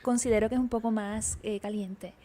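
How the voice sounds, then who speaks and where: A woman with a Puerto Rican accent answering interview questions as a guest poet, measured and reflective. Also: medium pitch, steady tone, warm tone. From Puerto Rico.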